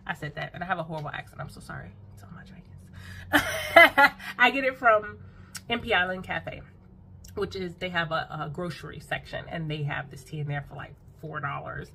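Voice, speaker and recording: A woman in her thirties chats casually into a close phone camera in her bedroom, with a lowish voice. She laughs easily and keeps calling her viewers y'all, with a faint hum of room sound behind her. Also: moderate pace, creaky, pushed and bright. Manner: chatty, laughs often, calls viewers y'all. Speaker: vlogger. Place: bedroom at home, indoors.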